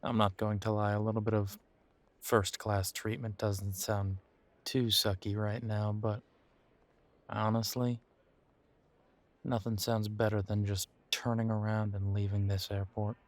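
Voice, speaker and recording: Low, slow-talking wry boyfriend played by a man. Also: flat delivery, natural voice. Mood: wry, resigned. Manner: hesitant, wry, casual, with trailing pauses. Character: weary, wry male werewolf boyfriend estranged from his family. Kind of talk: intimate roleplay audio drama, conversational monologue.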